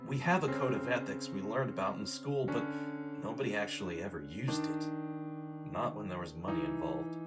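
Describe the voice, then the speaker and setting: An actor playing an earnest, righteous former journalist investigating a killing in a radio drama. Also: male voice, on the low side, normal speed, lightly acted. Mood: regretful. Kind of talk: audio drama acting in vintage radio manner. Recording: music laid under the voice. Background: plucked guitar music.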